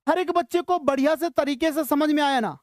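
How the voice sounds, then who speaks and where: High-pitched teacher. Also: a little fast, no echo, studio quality. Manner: instructional, asks questions and answers them, repeats phrases. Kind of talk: live online grammar lesson for school students.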